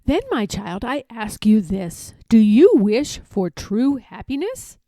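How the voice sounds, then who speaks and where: Storybook Empress acted by a female reader: stern middle-aged mother, regal, measured, mellow yet commanding. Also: on the high side, very animated, no echo, exaggerated voice. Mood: solemn, decisive.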